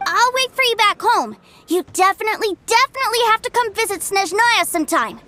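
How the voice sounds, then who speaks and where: Innocent high-pitched little boy. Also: animated, fairly quick, sharp tone, full character voice. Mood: cheerful, earnest. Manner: childlike, earnest. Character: innocent, cheerful little boy, trusting younger brother. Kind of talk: English game dub acting. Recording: background music under the voice.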